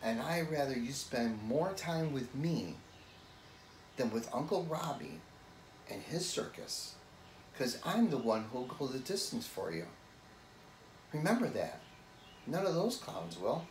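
Man acting an earnest, slow-spoken, weary brother. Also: mid-range voice, very animated. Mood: urgent pleading. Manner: deliberate, earnest, emphatic pauses. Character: earnest, weary responsible brother pleading with his sibling. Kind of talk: dramatic monologue. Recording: echoey room with faint noise.

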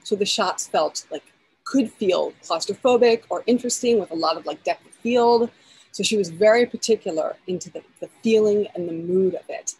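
A woman's voice: mid-range voice, moderate pace, a little mellow, husky, poor audio. A woman in her forties, a film editor and guest, talking eagerly over a video call from outdoors in her backyard, with many restarts and fillers. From United States.

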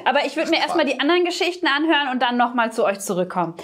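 A young woman with a high voice chats casually to camera. Her intonation swings up and down in a very animated way. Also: racing. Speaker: YouTube presenter, game contestant. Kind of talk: casual chat. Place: indoor room.

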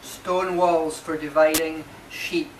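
A man, reading his poetry live in short, measured phrases. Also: on the high side, flat delivery. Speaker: poet reading own work. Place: marquee with a small seated audience. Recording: distant, echoey, noisy live recording.

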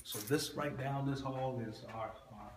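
A man's voice: mid-range voice, a little slow, roomy sound, heavy background noise. A man, a pastor, casually showing rooms of an empty building while repeating his phrases. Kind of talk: casual walkthrough tour. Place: empty church office building, large hallways.

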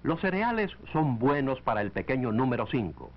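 A man reading scripted narration for a 1940s educational film, in a measured, didactic way. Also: medium pitch, big pitch swings. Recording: dull lo-fi 1940s film soundtrack.

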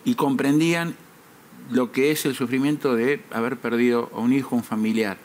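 A man, a talk show guest who speaks in a serious, measured way and hesitates with fillers. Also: mid-range voice, steady tone, a little hiss or hum. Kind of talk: earnest interview answers. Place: TV studio.

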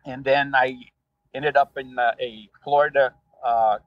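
A man from Brazil with a Brazilian Portuguese accent, a rideshare driver telling his story in a relaxed, rambling way with many fillers. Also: medium pitch, unhurried, dry recording, dull audio. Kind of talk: casual interview storytelling.

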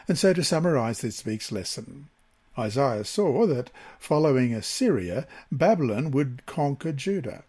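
Slightly husky man reading a devotional lesson. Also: medium pitch, darkish tone. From Australia. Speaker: Bible lesson reader.

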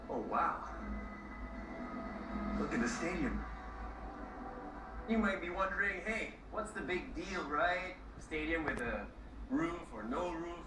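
A young Malaysian man reports casually to camera in a slightly high voice. The sound is roomy and distant, with loud music over him. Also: lively intonation, slow pace, long pauses. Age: young adult. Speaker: field reporter. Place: car and open stadium stands.

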